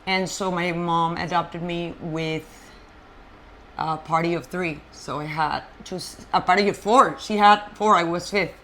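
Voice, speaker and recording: Colombian woman, native Spanish speaker, frank and animated guest, low-pitched voice, faint hiss. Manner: animated, halting, many fillers and restarts, frank. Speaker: interview guest. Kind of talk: personal storytelling in conversation.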